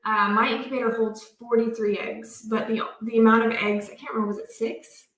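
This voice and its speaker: A talkative woman, chatting excitedly as a livestream co-host. Her voice sits a little high and sounds set back from the mic. The room is echoey. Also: fairly quick. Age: 30s. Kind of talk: casual chat on a homestead livestream. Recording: roomy, from a distance off the mic.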